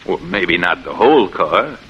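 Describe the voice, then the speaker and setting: An actor playing a cocky, sneering small-time gangster in a 1940s radio drama, talking in swaggering slang. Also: male voice, sits low, animated, measured pace, slightly rough, full character voice. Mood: smug. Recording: muffled old radio recording.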